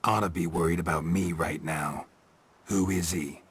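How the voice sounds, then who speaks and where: An actor playing a stoic, unflappable monster hunter in a video game, gravelly and laconic, with clipped deadpan lines. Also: male voice, low voice, moderate pace, big-room sound. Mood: menacing. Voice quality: gravelly, raspy. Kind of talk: understated video game acting.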